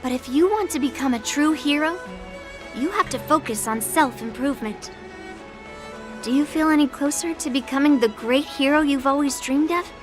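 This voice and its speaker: A woman voicing a poised, formal young female mentor who guides gently in an anime-style game. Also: sits high, normal speed, over music, close to an everyday voice. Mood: gently encouraging.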